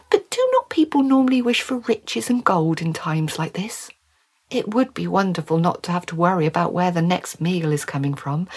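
A woman voicing a gentle, kind-hearted, wondering married countrywoman in a storybook, earnest and a little hesitant. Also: mid-range voice, slightly rough, voice pushed up.

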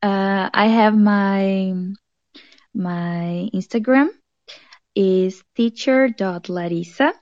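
A young Brazilian woman, a native Portuguese speaker, talks very slowly, halting now and then to search for words, and laughs often. Her voice is mellow and soft. The sound is dry and somewhat dull. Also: mid-range voice, no room sound. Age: young adult. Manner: hesitant, laughs often, thoughtful. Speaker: guest, English teacher. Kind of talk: casual interview. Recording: dull lo-fi recording.

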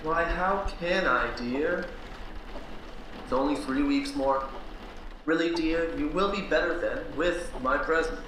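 A man's voice: a little high, slow pace, a bit bright. A man playing a patronizing, controlling physician husband in a period radio play, with a formal, cajoling, condescending manner. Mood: placating, firm. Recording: very echoey room, faint noise.

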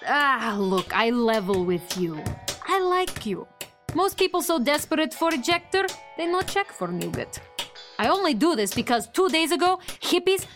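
A woman voicing a crooked, blustering male parts dealer who speaks in broken English and yells at an offstage son. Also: high voice. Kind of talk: audio fiction comedy acting.

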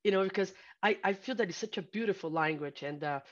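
A middle-aged woman from Brazil with a Brazilian Portuguese accent, speaking as an interview guest in a halting, filler-heavy way. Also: mid-range voice. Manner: halting, many fillers and restarts, laughs easily. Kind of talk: casual interview conversation. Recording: slightly dull lo-fi audio.

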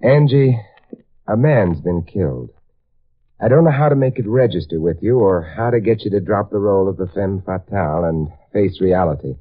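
Smooth wry private sleuth from 50s radio drama, deep voice, tinny old recording. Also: male voice, animated, moderate pace. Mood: earnest, pressing. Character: suave, wry, world-weary male amateur detective. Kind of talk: 1950s radio drama acting. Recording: tinny band-limited vintage radio recording.

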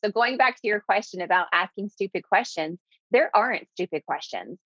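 A woman's voice: medium pitch, a little fast, no echo. Emphatic woman guest, dull lo-fi sound. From southeastern United States. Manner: emphatic, repeats key words, animated delivery. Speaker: guest, business owner. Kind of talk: conversational interview.

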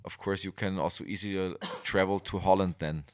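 Deep-voiced Austrian man, rambling. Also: fairly even intonation, normal speed, no echo, studio quality. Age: middle-aged. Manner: rambling, many restarts and fillers. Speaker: guest. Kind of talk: conversational interview.

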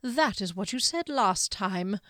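A woman voicing a calm, sly male wizard who mocks in slow, quietly menacing tones. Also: mid-range voice, no echo, studio quality. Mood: cold.

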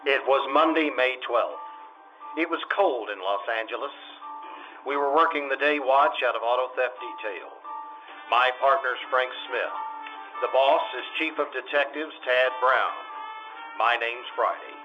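A man's voice: medium pitch, measured pace, muffled recording, music in the background, natural voice. A man playing a businesslike, patient police detective in an old-time radio drama, with a clipped, dry, matter-of-fact delivery. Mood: deadpan narrating.

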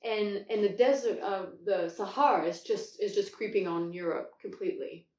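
A woman's voice: medium pitch, a little mellow. French-born woman in an echoey room. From France. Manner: enthusiastic, halting restarts, drawn-out words. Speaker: guest. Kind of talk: casual conversational storytelling. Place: home kitchen, indoors. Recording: echoey room, far from the mic.

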